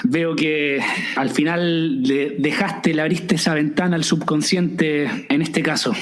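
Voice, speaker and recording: A man's voice: on the high side, fairly even intonation, measured pace. Curious male podcast host. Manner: curious, brief follow-up questions. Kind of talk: conversational interview.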